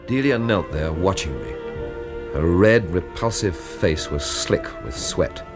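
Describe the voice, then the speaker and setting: A cool, sardonic Englishman in a radio mystery. His voice sits low but swings widely as he delivers dry, scornful wordplay in an English accent, with faint music behind. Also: expressive, unhurried. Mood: wry, brooding. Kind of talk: radio drama acting with first-person narration.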